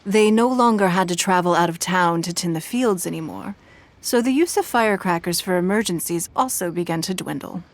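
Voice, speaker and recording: An actress playing a poised, authoritative noblewoman who leads a city, speaking in a composed, measured and formal way. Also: fairly low pitch, dry recording, lightly acted. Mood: calm. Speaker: city leader. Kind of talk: video game voice acting.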